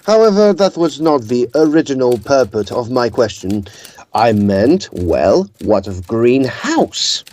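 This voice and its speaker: A man playing a shrewd, teasing Edwardian gentleman in an audio drama, wry and formal. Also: medium pitch, big pitch swings, measured pace.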